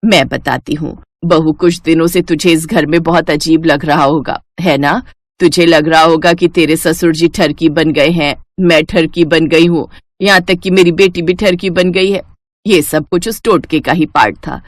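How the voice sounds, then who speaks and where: A woman's voice: low-pitched, fairly even intonation, moderate pace, dry recording, studio quality. A woman playing an earnest middle-aged mother-in-law who explains things matter-of-factly in a family cartoon story. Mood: confessing, relieved. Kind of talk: Hindi cartoon story voice acting.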